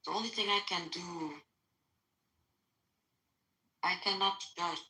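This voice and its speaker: A woman speaking English as a second language, answering in a hesitant, soft-spoken way. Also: lowish voice, takes it slowly. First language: not English. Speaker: conversation partner.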